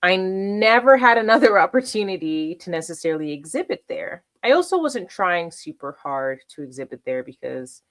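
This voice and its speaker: Low-voiced chatty woman artist host. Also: big pitch swings, moderate pace, few pauses, fairly bright tone. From South Florida. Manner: chatty, personal, checks in with the listener often. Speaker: artist hosting a how-to series. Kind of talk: casual talk to camera.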